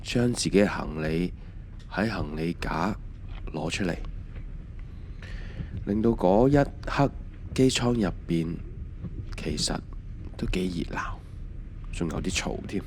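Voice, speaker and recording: A low-pitched, middle-aged Hong Kong Cantonese voice of a man acting as a mild husband in an audio drama. He tells his story reflectively and without hurry, as scripted first-person narration. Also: very animated, takes it slowly, gravelly, pauses often, a little mellow, a little hiss or hum, heavily acted.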